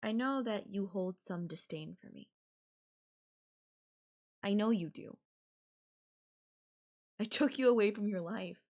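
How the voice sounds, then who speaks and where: A woman playing an obsessive, possessive, murderous girlfriend in an ASMR audio roleplay, speaking deliberately with drawn-out phrases and pauses. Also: mid-range voice, a little mellow. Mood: condescending.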